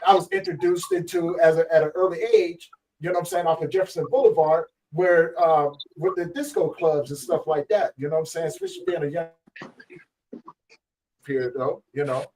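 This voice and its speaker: A man in his fifties from Virginia, telling stories in a relaxed way with lots of fillers. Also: on the high side. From Virginia, USA. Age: 50s. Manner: laid-back, loose storytelling with many fillers. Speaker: podcast guest. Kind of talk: casual chat. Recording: distant mic with faint noise.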